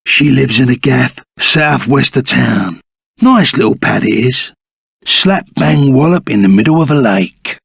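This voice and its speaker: An actor playing a gruff, loyal, blustering Cockney ex-bandit in a video game, full of rough, matey slang. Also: male voice, mid-range voice, animated, a little slow, fairly bright tone, no room sound, clean studio sound, heavily acted. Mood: rough, insistent. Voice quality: gruff, gravelly. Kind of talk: video game character acting.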